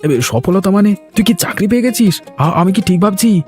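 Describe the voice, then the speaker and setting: A man voicing an excitable, proud middle-aged village father in a moral-story cartoon, with a folksy, exclamatory manner. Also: high-pitched, a little fast, soft background music. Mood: excited, delighted.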